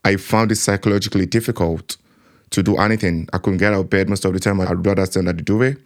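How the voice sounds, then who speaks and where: Low-voiced guy telling his story in an interview, acts out his inner dialogue. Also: steady tone, fast talker. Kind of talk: personal account in conversation.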